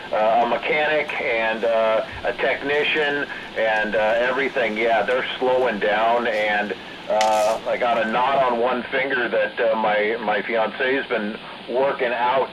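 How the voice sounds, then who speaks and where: Middle aged guy on a noisy cb, talks kinda halting, average pitch, just chatting. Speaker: CB radio operator. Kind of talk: casual radio chat. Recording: noisy CB radio transmission.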